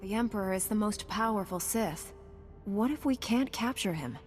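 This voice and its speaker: An actress playing a dutiful, wry young Jedi Knight heroine in a video game. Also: female voice, medium pitch, expressive, moderate pace, big-room sound, faint music behind, natural voice. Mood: resolute, questioning. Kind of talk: video game cutscene acting.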